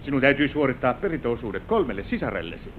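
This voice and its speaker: An actor playing a grave, caring father in his fifties, master of a manor, measured and admonishing in old radio-drama style. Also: mid-range voice, sing-song, lightly acted. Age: 50s. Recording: noisy, tinny old radio drama recording.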